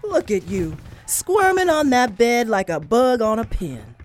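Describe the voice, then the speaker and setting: An actress playing a defiant, plain-spoken plantation servant from the American South in a radio drama. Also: female voice, a little high, sing-song, a little slow, a little hiss or hum. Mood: scornful.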